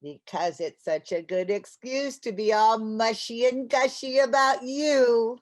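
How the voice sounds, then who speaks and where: A woman's voice: a little high, measured pace, close to an everyday voice. A woman playing a playful, mushy housewife in an old-time radio comedy, with a coaxing, theatrical delivery. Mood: gushy, teasing.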